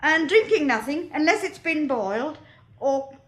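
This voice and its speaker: A woman's voice: high voice, lively intonation, measured pace. An actress playing an earnest, practical nurse, speaking carefully and a little hesitantly as she gives instructions in a radio drama. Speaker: nurse addressing a meeting.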